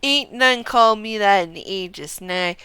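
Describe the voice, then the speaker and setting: A boastful, sly old rival from a fantasy game, performed by a woman, who taunts in rustic dialect. The delivery is slow and mocking, leaning hard on words in a clear voice. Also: medium pitch, animated, sharp tone, no echo. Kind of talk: reading game dialogue aloud in character.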